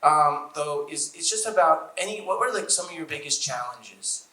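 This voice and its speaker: A man's voice: a little high, animated, normal speed, pushed and bright. A man interviewing an author onstage, asking friendly, hesitant questions. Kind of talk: casual onstage conversation. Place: festival event room. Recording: echoey room.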